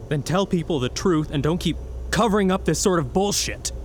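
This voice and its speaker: A man's voice: fairly high pitch, brisk, a little hiss or hum, close to an everyday voice. An actor in a science fiction radio drama playing a bitter, distrustful, desperate man, blunt and intense in his delivery. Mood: angry, distrustful.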